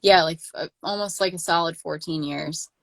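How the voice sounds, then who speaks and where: Young woman with a low voice, chatty. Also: normal speed, gravelly, a bit bright, no room sound, clean studio sound. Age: 20s. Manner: rambling, many "like" fillers and restarts. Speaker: channel host. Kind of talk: casual livestream chat.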